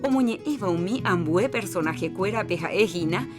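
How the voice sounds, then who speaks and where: A woman with a Paraguayan accent reading radio credits in a steady, formal way. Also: mid-range voice, moderate pace. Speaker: credits announcer. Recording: studio voice over guitar music.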